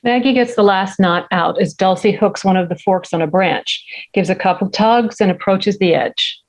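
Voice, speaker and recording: A woman's voice: medium pitch, normal speed. A woman with a mid-range voice narrates a screenplay. She reads scene headings and action lines as scripted narration at a table read. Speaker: stage directions reader.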